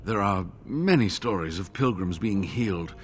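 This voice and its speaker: A man playing an injured, earnest scholarly monk in a video game, speaking in a halting, expressive way. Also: low-pitched, faint music behind. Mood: concerned. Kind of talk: dramatic video game dialogue.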